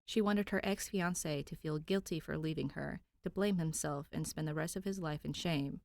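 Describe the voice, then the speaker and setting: A woman's voice: deep voice, moderate pace, vocal fry, a little mellow, no echo, clean studio sound. A woman podfic reader narrating fan fiction expressively, with an informal, conversational touch.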